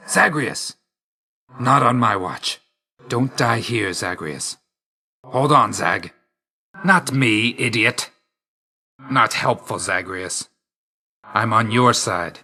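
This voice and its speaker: A man playing a grim, aloof god of death in a video game, terse and deadpan, stern yet grudgingly loyal. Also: on the low side, slow pace, long pauses, exaggerated voice. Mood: irritated, curt. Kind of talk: scripted game character lines.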